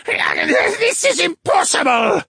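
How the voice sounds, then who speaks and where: A man voicing a vengeful wraith in an audiobook, with a breathy, screeching, wailing voice and drawn-out taunts. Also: very high voice, sing-song, sharp tone, no room sound, raised voice, clean studio sound, pitched above the performer's own voice, heavily acted. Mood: panicked fury.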